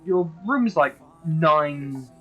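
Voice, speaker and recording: High-voiced, excitable gaming streamer. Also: male voice, takes it slowly, lo-fi sound, soft background music. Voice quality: fairly high-pitched voice. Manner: excitable, swears freely, stammers into restarts. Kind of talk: casual chat while gaming.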